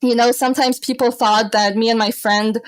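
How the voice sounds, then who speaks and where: A woman in her twenties who grew up in Austria, telling stories as a podcast guest, animated and often laughing. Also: fairly high pitch, moderate pace, dry recording. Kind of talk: casual podcast interview.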